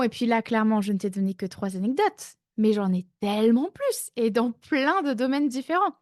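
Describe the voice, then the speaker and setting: Woman, 30s, life-coach podcast host, higher voice, relaxed chatty delivery, dry close recording. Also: no room sound. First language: not English. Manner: chatty, self-mocking, many fillers. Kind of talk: casual podcast monologue.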